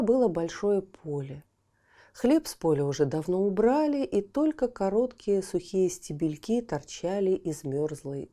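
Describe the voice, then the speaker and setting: A woman reading a fairy tale aloud for children, with a warm, very dark and mellow voice that sits fairly low. Her telling is gentle and expressive, and she often sinks to a soft, breathy whisper. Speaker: storyteller. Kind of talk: children's audiobook narration.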